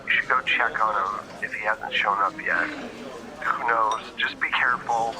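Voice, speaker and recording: A man playing a flustered, evasive agent handler on a call, halting and rambling as he tries to reassure. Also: fairly low pitch, steady tone, a crowd in the background, close to an everyday voice, low-quality recording. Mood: distracted, uneasy. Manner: halting, self-interrupting, rambling.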